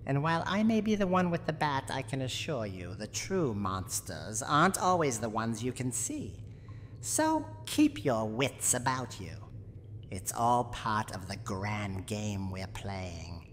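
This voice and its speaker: Gruff old granny of a haunted house, teasing, lively intonation, long reverb over noticeable noise. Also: female voice, extremely low pitch, a little slow, exaggerated voice. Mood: warning. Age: older. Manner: menacing yet secretly tender, theatrical. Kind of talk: scripted roleplay ASMR monologue.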